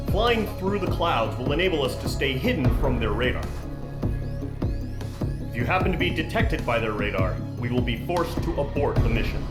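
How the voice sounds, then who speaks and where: An actor playing a businesslike military officer, giving a mission briefing in a measured, authoritative, formal way. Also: male voice, mid-range voice, lightly acted. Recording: roomy echo, loud music behind.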